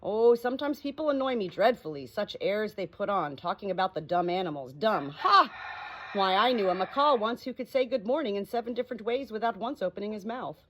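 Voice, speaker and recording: A woman's voice: mid-range voice, animated, fairly quick, fairly bright tone. A woman voicing an old, scornful female parrot in an audiobook, indignant, boastful and full of exclamations. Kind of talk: audiobook character dialogue. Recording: clearly noisy home recording.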